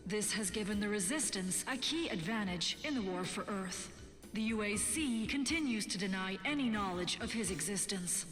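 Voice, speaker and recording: An actress playing a sober resistance radio broadcaster in a video game, delivering war news in a formal, measured, earnest way. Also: female voice, medium pitch, lively intonation, a bit bright, natural voice. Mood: grave, formal. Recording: echoing broadcast with music bed. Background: music.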